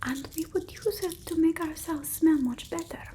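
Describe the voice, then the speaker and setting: A woman playing a bossy, fussy old-world vampire mistress in an ASMR roleplay, whispering slowly and breathily. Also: very high-pitched, slight background noise. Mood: soothing, fussy. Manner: slow, hushed, haughty, fussing over the listener.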